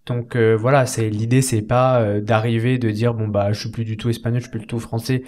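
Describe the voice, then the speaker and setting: Hesitant French man, mid-pitched. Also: flat delivery, fairly quick, darkish tone. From French Alps, France. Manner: hesitant, many fillers and restarts, curious. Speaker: podcast guest. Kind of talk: casual conversation.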